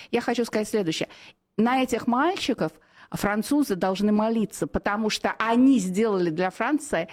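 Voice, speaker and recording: A middle-aged woman from Russia, a journalist giving heated, opinionated answers on a radio show, with frequent fillers and self-corrections. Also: fairly high pitch.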